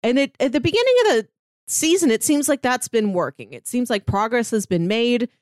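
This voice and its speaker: Female podcast co-host, lively, laughs often, dry close sound. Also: a little high, a little fast, no echo. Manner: animated, laughs often while talking. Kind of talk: casual podcast discussion.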